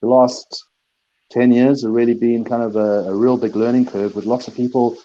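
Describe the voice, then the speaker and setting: A man's voice: medium pitch, flat delivery, moderate pace, dark tone, lo-fi sound. A middle-aged man from South Africa, a DJ and producer, answering interview questions in a rambling way, repeating words and laughing often.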